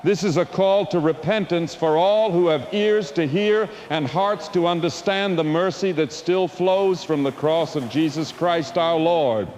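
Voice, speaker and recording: A high-voiced, fervent doomsday preacher acted by a man delivers a solemn, wrathful sermon. The oratory is grand and scriptural, in the manner of an audio drama, with ambient noise behind it. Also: a little slow, hardly any pauses, a little mellow, lightly acted. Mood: urgent, pleading.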